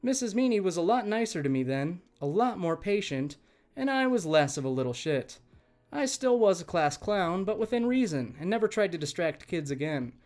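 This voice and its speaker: A man working as a channel host, reading online stories aloud in an expressive, conversational way and speaking directly to listeners. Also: sits high, moderate pace. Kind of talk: reading online stories aloud, scripted narration with chatty asides.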